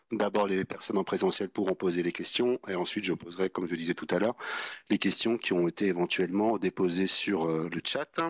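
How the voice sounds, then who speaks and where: A man's voice: fairly low pitch, dry recording. A man giving practical announcements as meeting moderator, in hesitant, stop-start phrasing. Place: indoor public meeting. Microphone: meeting microphone.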